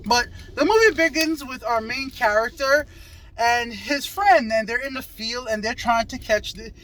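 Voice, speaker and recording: A man's voice: very high-pitched, normal speed, a bit bright. A man giving a casual, chatty movie review as host, checking that his companion agrees, recorded in a car while driving. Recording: noisy in-car recording with road noise. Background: car and road noise.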